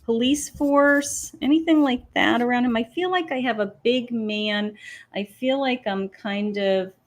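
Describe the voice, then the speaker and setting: A woman hosting a live psychic reading from her patio, talking slowly with many pauses and fillers as she thinks aloud. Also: fairly high pitch. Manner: slow, hesitant, many ums, thinking aloud. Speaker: psychic medium host. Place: home patio.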